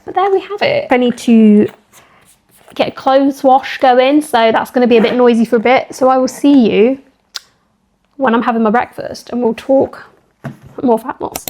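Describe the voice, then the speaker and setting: Gal vlogging about fitness, bit croaky and husky, chatty, goes off on tangents. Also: female voice, fairly high pitch, normal speed, pauses often, darkish tone. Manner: chatty and upbeat, rambling with asides. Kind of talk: casual talking-to-camera vlog.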